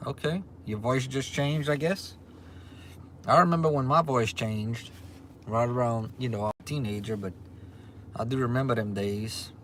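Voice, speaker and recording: Louisiana-accented man in his forties, live-stream host, relaxed, mid-pitched, faint background noise. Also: measured pace. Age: 40s. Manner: easygoing, repeats himself, frequent ums. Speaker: livestream host. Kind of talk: casual livestream chat reading viewer comments. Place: restaurant. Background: faint restaurant ambience.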